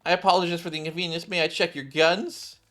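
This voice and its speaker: A man's voice: on the high side, little pitch movement, quick pace, fairly bright tone, lightly acted. A man voicing an earnest, dutiful, rule-bound policewoman in a game story, formal and polite. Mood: polite official.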